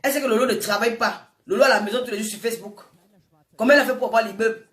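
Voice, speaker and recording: A woman's voice: a little high, racing, fairly bright tone. A woman in her forties with a Francophone African accent delivers an informal, impassioned monologue, scolding emphatically and repeating phrases for effect. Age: 40s. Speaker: social media personality addressing viewers. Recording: echoey room, distant microphone.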